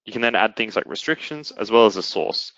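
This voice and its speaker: A man presenting a software product in a webinar, explaining it step by step in an instructional way. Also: fairly low pitch, animated, a little fast, dry recording, muffled recording. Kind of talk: explanatory webinar demo narration.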